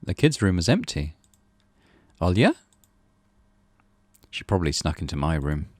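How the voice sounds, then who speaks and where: A man reading visual novel narration as a gaming host, in an expressive, dramatic way. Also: extremely low pitch, quick pace, no echo, studio quality. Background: music.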